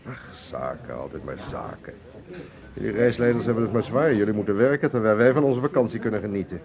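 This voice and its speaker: Chatty russian tourist in a radio play, lowish voice that swoops about, busy crowd behind him. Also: male voice, expressive, a little fast. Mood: sympathetic, sighing. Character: genial Russian man, talkative holidaymaker and museum buyer. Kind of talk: Dutch radio drama acting.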